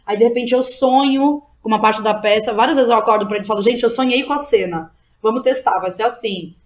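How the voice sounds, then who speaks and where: A woman's voice: a little high, fast. A Brazilian woman speaking as an interview guest, in casual, slangy talk with frequent check-in tags. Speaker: interview guest, playwright, director and drama teacher.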